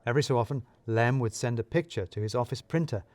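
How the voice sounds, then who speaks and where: A man narrating a scripted comic mystery in the first person, wry and expressive. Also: mid-range voice, fast talker, no room sound. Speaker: narrator of a serial mystery story.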